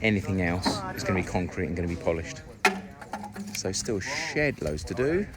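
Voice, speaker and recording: Guy with a deep voice chatting through his building site, ums a lot, noisy outdoors. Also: sing-song, moderate pace. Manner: chatty, explains step by step with many ums. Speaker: homeowner vlogging his house build. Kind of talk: casual walk-through explanation. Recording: loud outdoor site noise.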